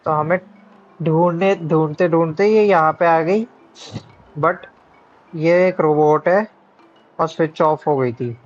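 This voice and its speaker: A game streamer with an Indian accent chats casually at a racing pace, explaining things bit by bit. The sound is a little dull, with soft game music underneath. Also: mid-range voice, very fast, pauses often. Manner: informal, explains step by step with check-ins. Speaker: game livestreamer. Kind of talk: casual live gaming commentary. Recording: dull microphone over faint game music.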